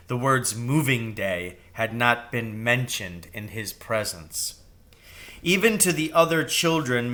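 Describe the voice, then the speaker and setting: A man reading a children's novel aloud as expressive narration, with measured and deliberate phrasing. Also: medium pitch. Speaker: storybook reader.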